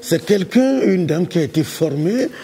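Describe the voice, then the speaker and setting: A man's voice: high-pitched, big pitch swings, moderate pace, over a noisy crowd. A man interviewing cast members at a rehearsal, leading and finishing their sentences. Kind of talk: backstage interview. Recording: music playing behind.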